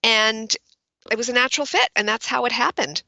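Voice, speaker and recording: A middle-aged woman from Brooklyn with a General American accent, talking as an executive speech coach in a podcast interview, crisp and articulate. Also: on the high side, fairly quick, pushed and bright, dry recording. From Brooklyn, New York.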